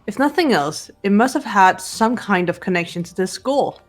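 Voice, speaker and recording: A woman voicing a cool, blunt, analytical teenage girl detective, deadpan and terse, in amateur game voice acting. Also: mid-range voice, normal speed, faint music behind, natural voice. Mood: cool, businesslike.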